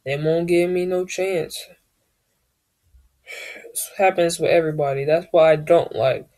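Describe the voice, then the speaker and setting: A woman with a deep voice, telling a personal story in a casual vlog, often hesitating and searching for words. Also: steady tone, a little slow, warm tone. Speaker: vlogger.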